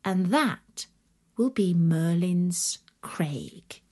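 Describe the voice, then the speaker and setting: A woman narrating a children's story from a script, expressive and dramatic, dropping into breathy emphasis on some words. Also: lowish voice, extremely slow.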